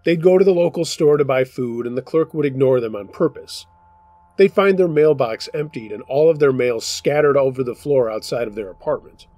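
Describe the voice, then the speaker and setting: Male storyteller host, middle-pitched voice, dry close sound, calm measured reading. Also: dry recording. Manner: steady, measured first-person narration. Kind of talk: reading submitted true scary stories aloud.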